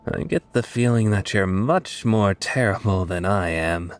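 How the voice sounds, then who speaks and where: A man playing an aloof, awkward young man with hidden kindness in a dubbed visual novel, offhand and awkwardly gentle. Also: lowish voice, expressive, measured pace, a little hiss or hum. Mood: hesitant, sincere.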